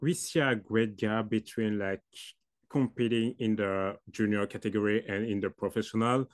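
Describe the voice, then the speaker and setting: A young man puts questions to his guest in a fairly low voice. He is halting, breaking off words and rephrasing as he asks. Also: fairly even intonation. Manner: halting, loosely worded questions. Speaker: student interviewer. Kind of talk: interview questions.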